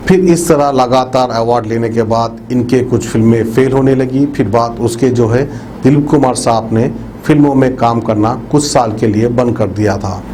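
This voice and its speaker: A man with a dark, mellow voice at an ordinary pitch delivers a news tribute straight to viewers. He explains in a conversational, repetitive way, leaning on habitual filler phrases. There is noticeable background noise behind him. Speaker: news presenter. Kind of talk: narrated obituary tribute report addressed to viewers.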